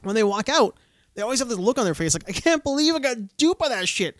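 A man's voice: very high voice, very animated, fast talker, a bit bright, no echo, clean studio sound. A man chatting casually on a podcast, rambling through stories and acting out what people said. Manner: rambling storyteller, acts out quotes. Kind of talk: casual chat.

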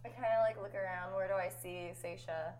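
A woman playing a tabletop role-playing game, quick, laughing and playful. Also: mid-range voice, fairly even intonation, a little mellow, a little hiss or hum. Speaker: player. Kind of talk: tabletop role-play chat.

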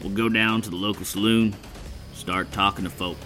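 A man voicing a gruff male gunslinger in a tabletop game, blunt and quick to curse. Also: lowish voice, fairly even intonation, bright, forceful tone, soft background music. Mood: determined.

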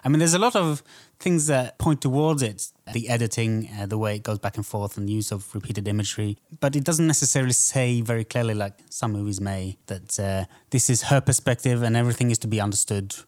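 Measured man in film discussion. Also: mid-range voice, a little mellow. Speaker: film podcast co-host.

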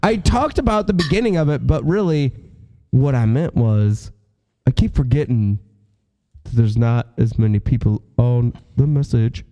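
A man's voice: mid-range voice, animated, normal speed, dark tone. A man co-hosting a comedy podcast, swearing, teasing and laughing often. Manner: profane, teasing, laughs a lot. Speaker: podcast co-host. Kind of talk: casual comedic banter.

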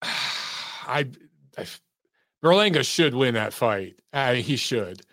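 A man's voice: mid-range voice, expressive, slow, bright, forceful tone, no echo, clean studio sound. Chatty man hosting a boxing call-in show. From Detroit, US. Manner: chatty, emphatic, swears casually. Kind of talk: casual sports talk.

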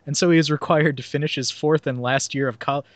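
A man's voice: a little high, steady tone, brisk, no room sound. A young man hosting a podcast, chatting casually and reading a summary aloud with sarcastic asides, often laughing mid-sentence. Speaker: podcast host.

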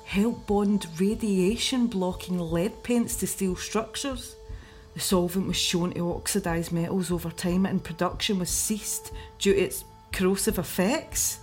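A woman's voice: medium pitch, a little mellow, close to an everyday voice. A woman with a Scottish accent acting in a radio drama as an anxious investigator. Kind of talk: radio drama, reading research aloud.

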